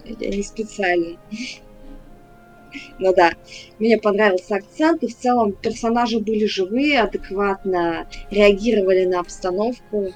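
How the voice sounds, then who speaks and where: A woman's voice: medium pitch, normal speed, faint music behind, rough recording. A woman running a tabletop game, giving casual, hesitant feedback over an online voice chat and often repeating words as she talks. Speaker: game master.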